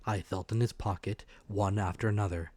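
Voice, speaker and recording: Male audiobook narrator, lower-pitched, measured and expressive dramatic storytelling, very dry recording. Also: no room sound. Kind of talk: dramatic scripted narration of a classic adventure story.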